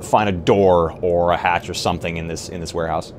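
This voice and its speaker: Very deep-voiced man playing a tabletop game. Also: fairly even intonation, a little fast. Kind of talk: casual in-game chat. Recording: live stream with clear background noise.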